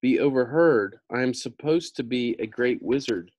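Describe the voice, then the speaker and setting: A man's voice: mid-range voice, normal speed, a little mellow, no room sound, lightly acted. Man reading as a timid little humbug wizard. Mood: sheepish. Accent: American. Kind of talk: storybook read-aloud.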